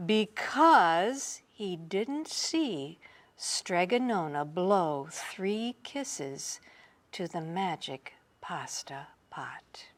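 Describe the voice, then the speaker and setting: A woman reading a children's picture book aloud in an expressive, dramatic storytelling manner. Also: on the low side. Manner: unhurried, dramatic storytelling with emphasis. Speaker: storybook reader.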